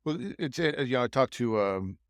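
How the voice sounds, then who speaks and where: A male podcast host with a medium-pitched voice chats informally with his guest. He words his questions tentatively, reworking them as he goes, and the sound is very dry and close. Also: expressive, a little fast, no echo. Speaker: podcast host interviewing a chef. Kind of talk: casual interview chat.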